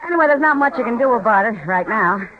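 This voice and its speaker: An actress playing a wry, worldly frontier saloon woman with a dry, teasing, low-key way of talking, in a 1950s radio drama. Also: animated, fast, close to an everyday voice. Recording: dull old radio broadcast.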